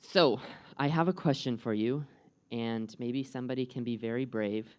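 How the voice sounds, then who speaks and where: A man's voice: mid-range voice, normal speed, smooth. A young American man giving a live presentation, engaging the audience with questions in a friendly way. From United States. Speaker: guest speaker and program coordinator.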